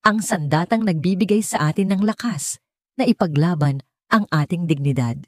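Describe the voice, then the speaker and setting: Audiobook role: confident young Filipina scholar, read by a woman, clear and earnest, soft-toned, steady dignity. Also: on the low side, normal speed, dry recording, close to an everyday voice. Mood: firm, earnest. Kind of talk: audiobook character dialogue.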